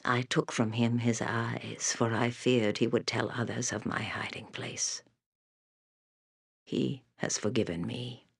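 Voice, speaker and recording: A woman playing a blind old seer in a fantasy video game, fearful and fatalistic, speaking solemnly and cryptically in a breathy, raspy voice with long pauses. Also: very deep voice, fairly bright tone, heavily acted. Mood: haunted, regretful. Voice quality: breathy, raspy, whispery creaking voice. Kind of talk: video game character acting.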